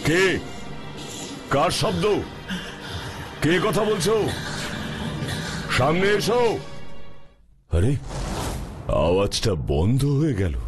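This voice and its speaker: A man playing a sturdy, calm and stern superhero detective in a cartoon, speaking in a deliberate, inquisitive, commanding way. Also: fairly high pitch, over music, heavily acted. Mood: alert, investigating, then challenging. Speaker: cartoon hero. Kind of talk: dramatized cartoon dialogue.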